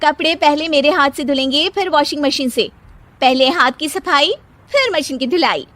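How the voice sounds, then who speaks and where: A woman voicing a proud, bossy young wife in a cartoon story, boastful and quick to snap back. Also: high-pitched, expressive, fairly bright tone, no echo. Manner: brash, boastful, snapping back. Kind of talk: animated cartoon story dialogue.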